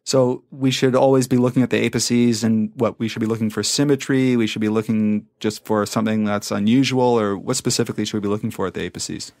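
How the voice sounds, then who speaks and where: A man with a fairly low voice and plenty of fry hosts a medical interview podcast. He speaks conversationally, restating key points and posing questions in an explanatory way. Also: fairly even intonation, quick pace, few pauses, a little mellow. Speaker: podcast host, emergency physician.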